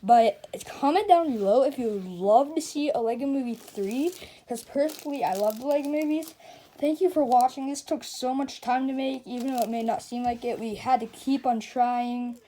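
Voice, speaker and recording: A woman's voice: a little high, normal speed, warm tone, slight background noise. A woman presenting her puppet videos, speaking to viewers in a casual, unscripted, pleading way.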